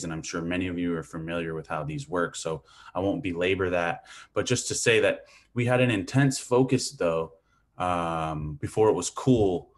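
Man from Hawaii, very low voice, relaxed lecturing, webcam mic, small room. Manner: casual, thinking aloud with fillers. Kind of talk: academic lecture over video call. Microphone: video call webcam mic.

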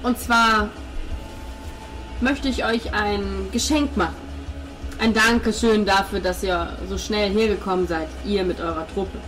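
A woman voicing an effusive, grateful, pious gentleman in a tabletop game, speaking formally. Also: mid-range voice, fairly even intonation, measured pace, soft background music, lightly acted, rough recording. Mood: eager, bossy.

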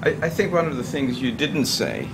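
A man in the audience chimes in with an explanatory comment, his voice at an ordinary middle pitch. A steady rumble of background noise runs beneath his words. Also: fairly quick, gravelly. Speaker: audience member adding a comment.

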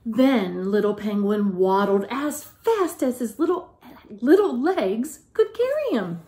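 A middle-aged woman reading a picture book aloud to young children in an expressive teacherly way. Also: on the high side, takes it slowly. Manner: expressive, questioning, child-directed delivery. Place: living room at home, indoors.

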